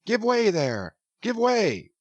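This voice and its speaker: A man voicing a second mate who drawls and coaxes his rowers, breaking into high shouts. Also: huge pitch swings, a little fast, creaky, husky, no room sound, dull audio, full character voice. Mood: coaxing, rowdy. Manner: drawling, soothing, then bursting into shouts.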